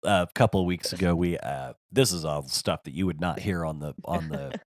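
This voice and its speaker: A man with a strained, hoarse voice that is giving out, chatting casually and haltingly as a podcast co-host, poking fun at himself. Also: low voice, dry recording, studio quality. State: losing his voice. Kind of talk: casual chat.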